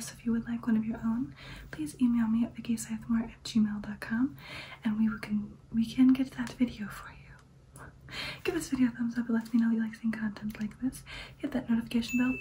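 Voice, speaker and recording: Softly whispering young woman, mellow. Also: fairly high pitch, level tone, brisk, slight background noise. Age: young adult. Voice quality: breathy, whispery. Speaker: ASMR creator. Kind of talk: soft-spoken whispered ASMR. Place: small indoor room.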